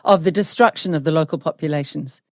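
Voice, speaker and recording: A woman's voice: low-pitched, fast talker, dry recording, studio quality. A woman from New hosting an interview, speaking emphatically and indignantly. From New Zealand. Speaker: host. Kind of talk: opinionated interview talk.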